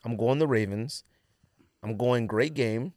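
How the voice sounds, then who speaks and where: An American man co-hosting a podcast, chatting casually about sports in an animated, opinionated, slangy way. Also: mid-range voice, measured pace, no echo, studio quality. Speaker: sports and sneaker podcast co-host.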